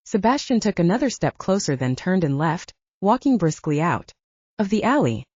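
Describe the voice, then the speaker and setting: A woman's voice: lowish voice, big pitch swings, normal speed, a little mellow, slightly rough, no room sound. A woman reading first-person fiction as a scripted audiobook narration. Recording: dull lo-fi, very dry.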